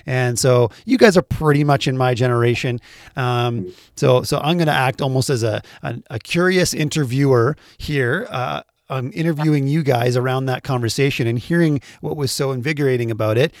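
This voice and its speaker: A man in his thirties hosts a casual podcast interview. His voice sits at a middling pitch in a very dry recording, and he talks conversationally with a lightly teasing touch. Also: moderate pace, no room sound. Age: 30s.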